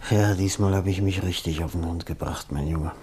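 An actor playing an ailing, exhausted middle-aged novelist, irritable and wistful, sighing and groaning in a German radio drama. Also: male voice, low-pitched, fairly quick, dark tone, breathy edge. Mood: wistful, weary. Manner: weary, groaning, sighing, breaking into whispers.

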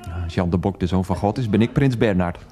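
An actor playing a flippant, bossy lover in a radio play, talking in hushed, coaxing tones with dry irreverence. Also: male voice, sits low, fast talker, natural voice. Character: Freek, soothing, flippant, bossy lover sneaking port at a concert. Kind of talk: 1990s Dutch radio play acting. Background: classical choral music.

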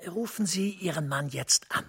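An actor playing a commanding senior official in a German radio play, husky and breathy, speaking deliberately and dropping to near-whispers. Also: male voice, high-pitched, sharp tone, heavily acted. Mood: stern, calculating. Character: commanding male senior official, guarded, urgent, conspiratorial.

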